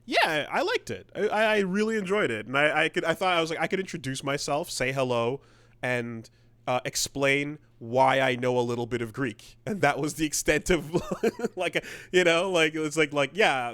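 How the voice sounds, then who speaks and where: A man's voice: mid-range voice, lively intonation, moderate pace, fairly bright tone, no room sound. A man co-hosting a podcast, talking in a loose and rambling way and swearing casually as he goes. His voice is close and dry on the mic, with no room sound. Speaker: podcast co-host. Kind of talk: casual chat.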